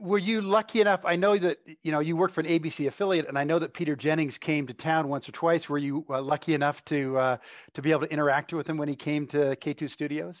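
A man hosting an interview, asking questions in a relaxed, chatty way with plenty of filler words. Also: fairly high pitch, fast, no room sound, muffled recording. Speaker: interview host. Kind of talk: conversational interview.

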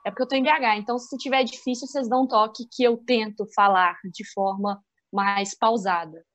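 A woman with a Minas Gerais accent, a sports journalist guest giving animated, opinionated views on a video call. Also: a little high, fairly quick. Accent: Minas Gerais Portuguese. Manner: animated, opinionated, thinks aloud with restarts. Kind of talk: casual video-call discussion.

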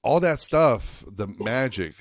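A man's voice: medium pitch, animated, slow, no room sound, studio quality. A man from the Reno area of the United States, a stand-up comedian chatting casually on a podcast in a rambling, earnest, slangy way with some swearing. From United States, Lake Tahoe and Reno area of Nevada. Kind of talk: casual podcast chat, anecdotal.

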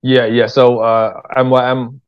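A man's voice: medium pitch, flat delivery, measured pace, clean tone. Dull-sounding British man chatting casually. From England. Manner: informal, slangy, candid. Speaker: interview guest, writer-director. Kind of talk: casual conversational storytelling. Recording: dull, lo-fi voice recording.

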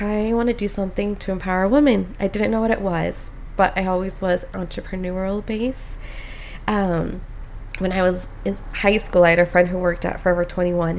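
A woman's voice: on the low side, gravelly, slight background noise. A young woman from Costa Rica, a postpartum doula, telling her story in a casual chat, full of "like" and "um". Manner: animated storyteller, many "like"s and "um"s.

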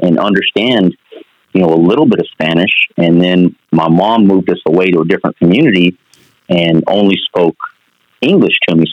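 Earnest male coach, very low voice, dry dull lo-fi sound, casual interview talk. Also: dry recording. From New Mexico. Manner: earnest, many "you know" fillers. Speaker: basketball coach.

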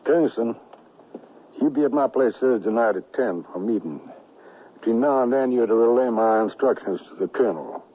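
An actor playing a suspicious, authoritative leader of a Confederate conspiracy in a radio western, briskly giving orders. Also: male voice, mid-range voice, animated, a little hiss or hum, lightly acted. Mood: commanding. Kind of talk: old-time radio western acting. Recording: muffled, narrow-band 1970s radio drama recording.

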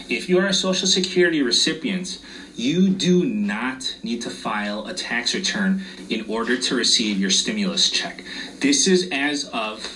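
A man's voice: medium pitch, bright, forceful tone, recorded from a distance, slight background noise, poor audio. A man who is losing his voice, explaining loan and tax forms step by step in a casual explainer video.

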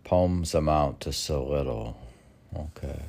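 Older guy doing a loose poetry reading, super low gravelly voice, halting and musing to himself. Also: a little mellow. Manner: halting, musing, talks to himself in question and answer. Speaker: poetry reader and podcast host. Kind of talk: informal poetry reading with rambling asides.

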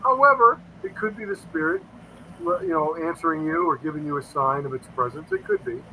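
A man's voice: a little high, huge pitch swings, a little fast, sharp tone, a little hiss or hum, low-quality recording. A man in his fifties telling stories in a casual interview, earnest and rambling, often quoting himself. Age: 50s. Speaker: paranormal investigator guest.

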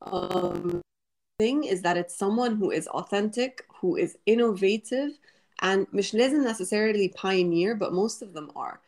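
A woman's voice: medium pitch, huge pitch swings, moderate pace, darkish tone. A woman in her late twenties with an Egyptian accent, Arabic her first language, talking casually and thoughtfully in an interview, slipping Arabic words into her English. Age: 20s. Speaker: podcast host and writer.

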